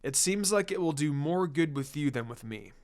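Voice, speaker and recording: A man voicing a laid-back, quietly amused male ninja teacher in a story reading, low-key and understated. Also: animated, moderate pace, clean tone, close to an everyday voice. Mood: easygoing, kindly. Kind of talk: fan fiction story reading.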